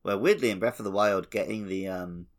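A man with a deep voice and plenty of gravelly creak. He speaks as a podcast co-host, chatting casually about gaming. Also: a little fast. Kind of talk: casual video game discussion.

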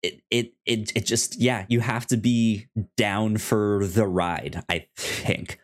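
Low-voiced man hosting a casual podcast. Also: fairly even intonation. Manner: halting, stammering delivery with repeated words. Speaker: podcast host.

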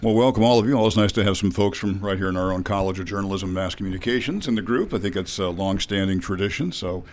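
A man's voice: low voice, fast talker, a little mellow, slight background noise. A man hosting a live radio studio interview, welcoming his guests in a friendly, conversational way. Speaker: radio host.

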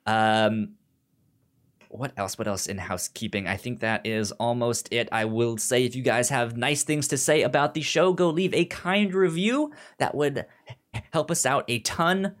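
A man hosting a podcast in casual, opinionated recap chat, animated, with a frequent stutter that repeats the first sounds of words. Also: medium pitch, unhurried. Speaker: podcast host.

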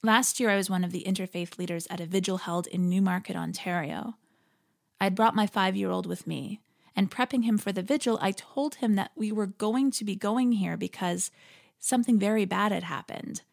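A woman hosting a podcast, delivering a reflective scripted monologue in an earnest, measured, articulate way. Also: mid-range voice, creaky. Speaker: podcast host; interfaith leader.

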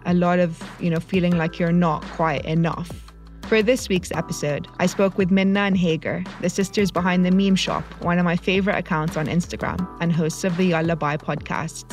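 A woman hosts in steady scripted narration. Her voice sits slightly low and each line is clearly read. Soft music plays faintly underneath. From Egypt. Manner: measured, clearly read intros. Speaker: podcast host, journalist.

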